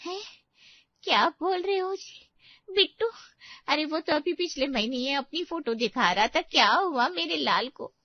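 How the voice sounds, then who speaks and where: A woman's voice: high-pitched, expressive, measured pace, no echo, highs cut off, pitched above the performer's own voice. A woman voicing a tender older village aunt with dramatic, exclamatory delivery in a story. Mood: alarmed. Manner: exclamatory, lamenting. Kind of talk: Hindi audio story dramatization.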